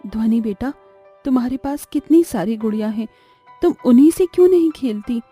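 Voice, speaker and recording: Woman as a gently firm cartoon mother. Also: on the high side, animated, moderate pace, slightly rough, soft background music. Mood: gently chiding. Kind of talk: Hindi cartoon story voice acting.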